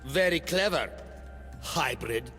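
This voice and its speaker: An actor playing a haughty, cold, mock-polite overseer sneering in a video game, with long reverb. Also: male voice, medium pitch, lively intonation, slow pace, bright, forceful tone, faint music behind. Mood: sneering, menacing. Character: haughty, cold male overseer who scorns humans. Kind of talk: villainous video game acting.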